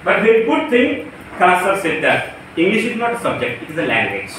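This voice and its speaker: A man's voice: high voice, huge pitch swings, a little fast. A man with an Indian Marathi accent gives a motivational lecture as a professor, speaking emphatically with repeated phrases and rhetorical questions. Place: echoey indoor room. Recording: very echoey room, distant mic, faint noise.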